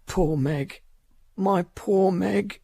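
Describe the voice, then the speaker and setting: A man's voice: high voice, extremely slow, vocal fry, mellow, breathy edge, dry recording, clean studio sound, full character voice. An actor playing a tender-hearted old working father, emotional and exclamatory, in a radio drama. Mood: anxious, sorrowful. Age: older. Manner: emotional, exclamatory, repeats phrases.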